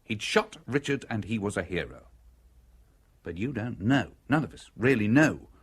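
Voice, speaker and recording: An actor in a radio drama playing a cool, wry, persuasive man who speaks with deliberate irony in a husky voice. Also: fairly low pitch, lively intonation.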